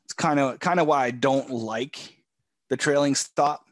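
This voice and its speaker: A man coaching a live trading session from a home office, talking in a relaxed way with many fillers, a bit hoarse and often clearing his throat. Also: mid-range voice, measured pace, no echo, studio quality. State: losing his voice.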